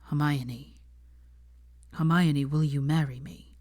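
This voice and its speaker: Lady reader doing a soft, nervous young guy, slow and quiet, halting. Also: sits low, steady tone, no room sound. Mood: tender. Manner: hushed, hesitant, halting. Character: young man, guarded, soft-spoken and nervous.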